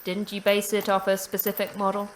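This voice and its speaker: A woman playing a terse, dry-witted station manager in an audio drama, with deadpan humour. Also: mid-range voice, fairly quick, a little hiss or hum, natural voice, poor audio. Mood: dry, wry. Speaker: voice actor in a fiction podcast.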